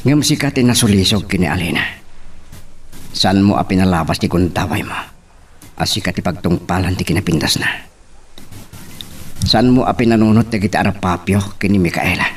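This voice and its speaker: A man's voice: low-pitched, moderate pace, long pauses, breathy edge, far from the mic. A man playing a stern, reproachful rival in love in an Ilocano drama. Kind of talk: Ilocano radio-style love drama acting.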